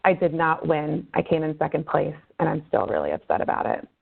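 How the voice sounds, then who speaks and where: A woman in her thirties, a consulting manager, giving a relaxed self-introduction full of fillers on a webinar. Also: deep voice, a little fast, creaky, breathy edge. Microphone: conference call line. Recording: phone-line webinar audio.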